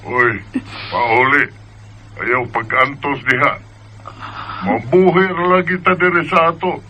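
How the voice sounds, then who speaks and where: A caring older father with a bright, forceful voice and a Visayan accent. He sounds homely and consoling, in a radio drama recording with clear background noise. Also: mid-range voice, a little slow, dull audio. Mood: caring, urging. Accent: Filipino Visayan. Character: caring older Filipino father urging his daughter home. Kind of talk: Bisaya radio drama acting.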